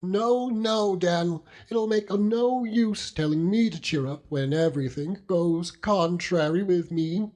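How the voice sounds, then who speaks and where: Man doing a high, whining old widow. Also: unhurried, hardly any pauses, darkish tone. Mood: mournful, fretful. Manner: whining, mournful complaining. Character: fretful, self-pitying old widow woman. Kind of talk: audiobook character acting.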